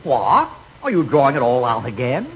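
An actor playing a fussy, polite bank clerk in a 1940s radio comedy. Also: male voice, mid-range voice, huge pitch swings, normal speed. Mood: amazed. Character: fussy, polite male bank clerk, easily amused and astonished. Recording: muffled, noisy 1940s radio recording.

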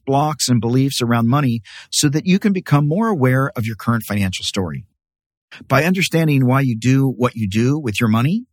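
A man's voice: medium pitch, no echo, studio quality. A man reading scripted promotional narration for an advert.

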